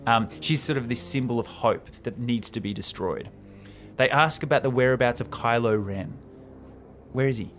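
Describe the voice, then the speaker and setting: A man's voice: mid-range voice, moderate pace, a bit bright. Young man retelling stories over faint music. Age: young adult. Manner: enthusiastic, informal. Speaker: podcast host. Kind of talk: casual retelling of a film script. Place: small room indoors. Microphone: close podcast microphone on stand.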